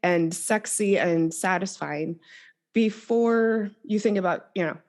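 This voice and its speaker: A woman's voice: mid-range voice, takes it slowly, darkish tone. A woman speaking as a coach and podcast guest, explaining her approach conversationally and thoughtfully.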